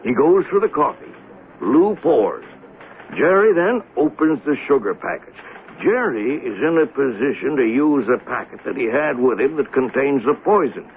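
A man's voice: mid-range voice, lively intonation, normal speed, lightly acted. Man acting insistent witness in tinny radio drama. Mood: intent, explaining. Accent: American. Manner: insistent, dramatic step-by-step recounting. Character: agitated male eyewitness reasoning out a poisoning. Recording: tinny old radio broadcast, faint noise.

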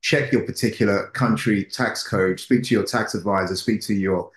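A middle-aged man hosting an interview, putting questions deliberately and repeating phrases for emphasis. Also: on the low side. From United Kingdom, resident. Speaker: show host, interviewer. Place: small home office, indoors. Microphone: video call. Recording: roomy, echoing video-call audio.

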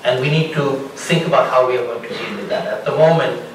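A middle-aged man from Bangladesh speaking as a panelist, explaining his points in a measured way and building them with examples. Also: medium pitch, a little hiss or hum. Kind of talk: panel discussion answer. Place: large lecture hall with audience. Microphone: room mic from a distance. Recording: echoey hall from far off.

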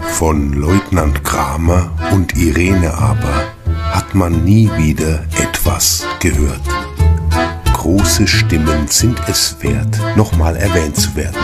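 Very deep, slow male narrator over music. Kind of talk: scripted radio play narration and closing credits. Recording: loud music under the voice.

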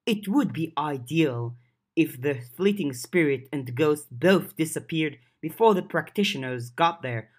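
A narrator plays a mysterious, composed female spirit in an audiobook. She speaks formally and at length, in measured phrases, advising her young allies. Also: medium pitch, lightly acted.

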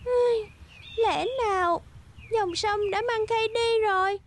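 Very high-pitched earnest little girl. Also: slow pace. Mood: worried. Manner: pleading, plaintive. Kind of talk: children's cartoon voice acting.

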